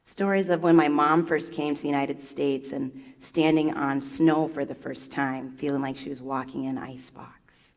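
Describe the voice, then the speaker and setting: A low-voiced woman from Liberia tells stories from a stage, animated and full of quoted voices. Her talk rings in a big hall with a long echo. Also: normal speed, hardly any pauses. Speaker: guest speaker, first grade teacher. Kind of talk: storytelling talk at a live event. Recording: echoey hall.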